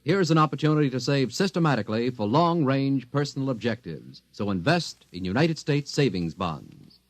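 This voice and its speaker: A man's voice: medium pitch, animated, moderate pace, no room sound. A man reading a 1950s radio public service announcement in an earnest, persuasive way. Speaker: commercial announcer. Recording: dry old 1950s radio broadcast.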